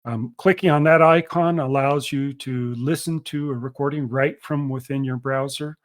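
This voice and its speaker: Man presenting a software webinar. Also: mid-range voice, moderate pace. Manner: explanatory, steady, with frequent ums and uhs. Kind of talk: product demo webinar.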